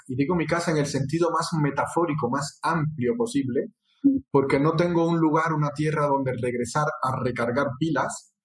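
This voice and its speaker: A male interviewer from Cuba asks slow, reflective questions, pausing on fillers as he thinks aloud. His voice sits a bit high for a man. The sound bounces around a roomy space. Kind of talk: conversational interview. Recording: roomy echo.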